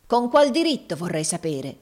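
A woman's voice: medium pitch, big pitch swings, unhurried. A woman voicing a bitter, menacing older usurer, a male villain in an audiobook novel, harsh, indignant and commanding. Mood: indignant demanding.

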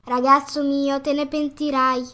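A woman playing a patient, motherly storybook fairy who gently admonishes in formal phrases. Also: sits high, flat delivery, unhurried. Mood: grave, warning. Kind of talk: acted storybook dialogue.

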